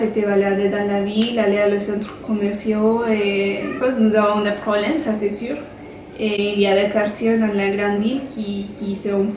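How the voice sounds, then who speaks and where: A young woman from Colombia speaking French with a Colombian Spanish accent, talking frankly and chattily to camera as a vlogger and laughing between points. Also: mid-range voice. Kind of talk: casual talk to camera. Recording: roomy sound from a distant mic, faint hiss.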